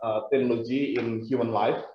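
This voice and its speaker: A man in his forties with an Indonesian accent gives a guest lecture in a careful, halting way, often pausing on "uh". His voice sits at an ordinary pitch. It comes through a dull, muffled video call line from a strongly echoing room. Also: fairly even intonation. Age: 40s. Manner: careful, halting, with frequent "uh" pauses. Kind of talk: webinar presentation.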